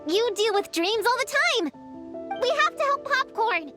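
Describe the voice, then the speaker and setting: Anime game mascot fairy: piercingly high, childlike, bouncy intonation, excitable, blunt, exclamatory. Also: female voice, measured pace, over loud music. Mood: worried, urgent. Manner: chirpy, exclamatory, talks in third person. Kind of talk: anime game dialogue acting.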